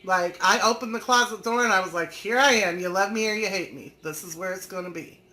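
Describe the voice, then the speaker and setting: A woman's voice: medium pitch, brisk, pushed and bright. A woman, hosting a live stream, chatting folksily and teasing viewers by name as she reads their comments. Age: 40s. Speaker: online business community host.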